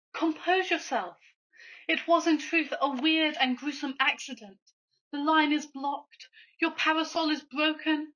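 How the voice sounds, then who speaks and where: A woman acting an anxious, florid Victorian gentleman lover with grave formality in a farcical radio play. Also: sits high. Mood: solemn, soothing. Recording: dull lo-fi home recording.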